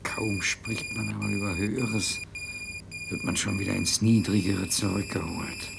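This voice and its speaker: A man's voice: fairly even intonation, unhurried, creaky. A man playing a lofty, self-satisfied scientist in a 1970s radio play, with a stilted, pompous delivery. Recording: 1970s radio recording with low rumble.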